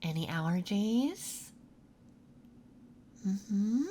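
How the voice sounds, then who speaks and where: A soft-spoken ASMR performer playing a gentle, calming nurse, speaking in a soothing, unhurried way during a roleplay intake. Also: mid-range voice, slight background noise.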